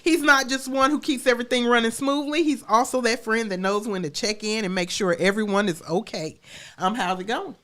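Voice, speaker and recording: A woman with a stuffy nose from a cold, hosting a podcast and introducing topics in a measured, scripted-sounding way. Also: on the high side, fairly bright tone. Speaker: podcast host.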